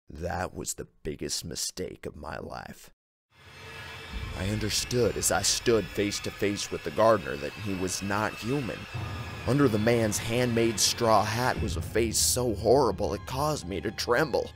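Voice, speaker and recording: Low-voiced man narrating horror over music. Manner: expressive, suspenseful storytelling. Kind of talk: dramatic scripted first-person horror narration. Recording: music bed under narration. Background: background music.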